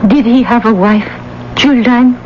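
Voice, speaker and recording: A woman's voice: fairly high pitch, measured pace, natural voice. An actress playing an anxious, tender-hearted young woman in a radio drama, earnest and emotional. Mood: shocked, questioning. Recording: dull, noisy old radio broadcast.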